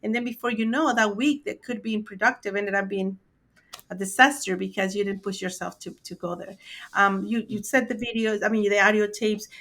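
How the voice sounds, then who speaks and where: A woman's voice: medium pitch, fairly even intonation, a little fast, fairly bright tone. A woman hosting a podcast interview, speaking in an encouraging, conversational way with small grammar slips. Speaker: podcast host.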